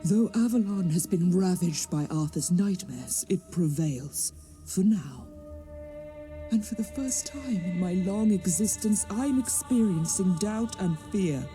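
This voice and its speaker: A woman playing an ancient, imperious sorceress goddess in a fantasy game, speaking in a theatrical, commanding way with a breathy, whispery edge. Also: fairly low pitch, animated, warm tone. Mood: grave, brooding. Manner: commanding, haughty, theatrical, deliberate. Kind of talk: dramatic fantasy game voice acting. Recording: dramatic music behind the voice. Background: music.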